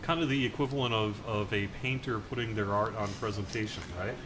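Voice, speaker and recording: A man's voice: fairly low pitch, a little fast, background noise. A man hosting a casual interview, asking chatty questions full of fillers. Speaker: interviewer, community host.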